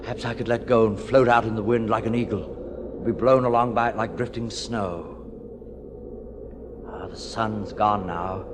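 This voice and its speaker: Radio-play lead, male, fifties, acted: fatalistic widower, low voice, dramatic musing, very noisy vintage broadcast. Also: fairly even intonation, close to an everyday voice. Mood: resigned, dreamy. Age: 50s. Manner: brooding, dramatic, haltingly musing. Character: weary widowed man in his fifties, brooding, fatalistic, stubborn. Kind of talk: 1940s radio drama acting, interior monologue. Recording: noisy, hissy 1940s radio broadcast recording.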